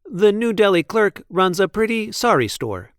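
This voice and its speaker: A man reading a picture book aloud expressively, with deliberate, playful emphasis on the sentences. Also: sits high, sing-song, smooth, dry recording, clean studio sound. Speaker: read-aloud storyteller.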